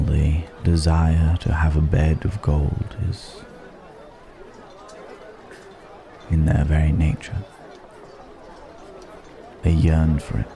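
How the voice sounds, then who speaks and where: A man playing a mysterious, philosophical ancient dragon disguised as a stranger, speaking in an intimate ASMR roleplay with long, pensive pauses. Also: a bass voice, background noise, exaggerated voice. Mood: brooding, musing. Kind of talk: ASMR roleplay, slow intimate dramatic monologue.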